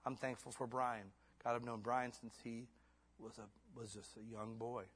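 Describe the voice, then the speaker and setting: A man in his fifties preaching a sermon in church. He swings between hushed, intimate lines and fervent, rising exhortation, then closes in prayer. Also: medium pitch, little pitch movement, normal speed, husky. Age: 50s. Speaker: pastor.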